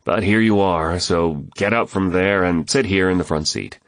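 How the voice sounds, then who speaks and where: A man's voice: sits low, moderate pace, no echo, natural voice. A man voicing a practical grown man who talks in a plain, direct way, firm but kind, recorded dry and close. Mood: patient.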